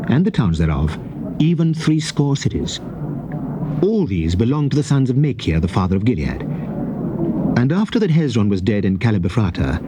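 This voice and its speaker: A man narrating scripture in a solemn, measured, declamatory manner, as in a dramatized King James Bible reading. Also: medium pitch. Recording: noisy old cassette tape recording.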